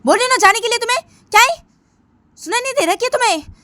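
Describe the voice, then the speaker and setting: A woman voicing Simran, an angry young female college student who shouts and orders someone away. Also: extremely high pitch, lively intonation, fast, no echo, voice pushed up, heavily acted. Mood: furious. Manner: shouting, bossy.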